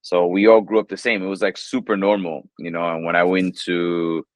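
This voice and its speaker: A relaxed man in his thirties with a New York accent talks in a lowish voice, rambling easily and sprinkling "like" and "you know" everywhere. The sound is close and dry, with no room around it. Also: moderate pace, no echo. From New York, USA. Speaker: English teacher, guest. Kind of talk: casual interview chat.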